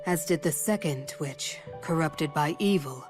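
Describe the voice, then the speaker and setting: An actress playing a thoughtful, scholarly royal woman in a video game, grave, deliberate and formal. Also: low-pitched. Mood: grave, pensive. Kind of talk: video game cutscene acting. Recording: music behind the voice.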